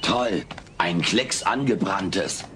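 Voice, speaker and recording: An actor playing a stubborn, cheeky alien in a dubbed sitcom audio play, theatrical and full of wisecracks. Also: male voice, low-pitched, expressive, extremely slow, full character voice. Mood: wisecracking. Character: cheeky, stubborn male alien, wisecracking pop-culture fan.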